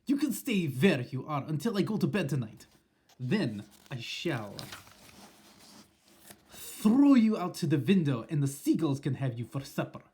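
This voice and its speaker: A man voicing an imperious, cruel old witch leader who snaps her orders in a loud, harsh voice. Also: fairly high pitch, big pitch swings, a little slow, husky, voice pushed up, exaggerated voice. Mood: gloating.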